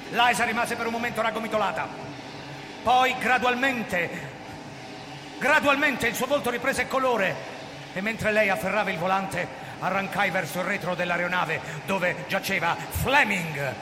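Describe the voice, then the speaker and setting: A man's voice: sits high, noisy background. An actor playing a desperate hunted man who narrates his own story theatrically in a radio thriller. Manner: intense, dramatic, emphatic repetitions. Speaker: actor, lead character and narrator. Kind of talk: theatrical first-person radio drama, narration and dialogue. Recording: long reverberant hall sound.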